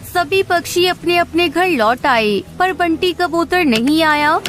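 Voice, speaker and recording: A woman's voice: high-pitched, very noisy. A woman giving scripted narration for a children's cartoon story.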